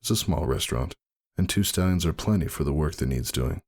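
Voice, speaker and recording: A man giving a dramatic first-person story reading as narrator, husky and brooding in manner. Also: rumbling low, lively intonation, brisk, darkish tone. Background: faint music.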